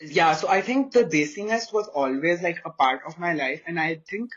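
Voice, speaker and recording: Young guy from pakistan rambling in a casual podcast chat, lots of likes and uhs, voice a bit high, audio kinda dull. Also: moderate pace. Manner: relaxed, rambling, many fillers. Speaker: guest.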